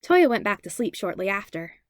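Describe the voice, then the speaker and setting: A woman's voice: mid-range voice, very animated, a little fast, dry recording. A woman reading a story aloud as scripted narration. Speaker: podfic reader.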